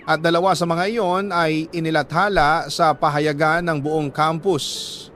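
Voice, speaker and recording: Filipino guy doing sad radio drama storytelling, kinda high voice, slow dramatic pauses, noisy ambience behind. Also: fairly even intonation, fairly bright tone. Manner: sorrowful, deliberate, with dramatic pauses. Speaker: radio drama host reading listener letters. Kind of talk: dramatic scripted storytelling, first-person letter reading.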